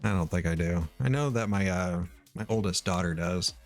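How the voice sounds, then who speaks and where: A middle-aged man from Missouri thinking aloud while he writes code, halting with many ums and uhs. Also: sits low, faint music behind. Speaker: live-coding streamer.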